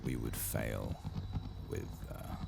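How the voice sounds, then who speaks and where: A soft-spoken man giving ASMR gameplay commentary, hushed and halting as he muses to himself. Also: rumbling low, steady tone, vocal fry. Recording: loud background noise and game ambience.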